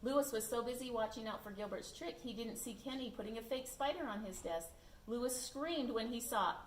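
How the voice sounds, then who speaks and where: A woman reading a children's picture book aloud in an expressive, animated way. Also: fairly high pitch, brisk. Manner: animated, acts out the dialogue. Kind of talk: expressive storybook read-aloud. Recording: echoey room, distant mic, background noise.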